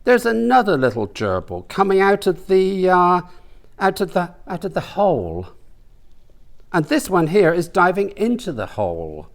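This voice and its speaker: Man putting on a high, gushing older woman. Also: wildly swooping pitch, normal speed, creaky, exaggerated voice. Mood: curious wonder. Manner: gushing, wondering. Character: gushing, nosy older woman, naively delighted.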